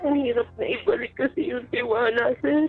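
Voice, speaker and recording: A woman's voice: fairly high pitch, normal speed, husky. A woman with a Filipino accent calling a radio show, politely sharing a personal account in a conversational way. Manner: polite, deferential. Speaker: caller seeking advice on a radio show. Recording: dull lo-fi call audio with music. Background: music.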